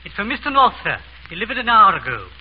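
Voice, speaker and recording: A man's voice: sits high, big pitch swings, fast talker. A man acting a fussy, deferential manservant in a vintage radio drama, formal and flustered. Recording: dull, hissy vintage radio broadcast recording.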